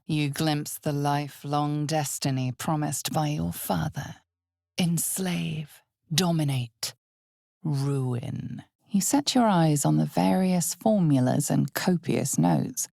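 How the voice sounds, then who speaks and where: A woman giving dramatic scripted fantasy game narration in a measured, ominous storytelling manner. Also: low-pitched, gravelly, a little mellow, dry recording, studio quality.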